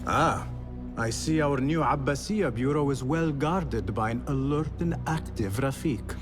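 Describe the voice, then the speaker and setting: An actor playing an earnest, determined young assassin in a game cutscene. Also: male voice, mid-range voice, measured pace, over music, close to an everyday voice. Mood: wry, friendly.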